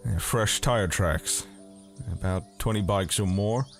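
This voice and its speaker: A very deep, gruff military major, played by a man in a wasteland audio drama. He is curt and commanding, a seasoned tracker, with faint music behind him. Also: slow. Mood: terse. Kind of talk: fan audio drama acting.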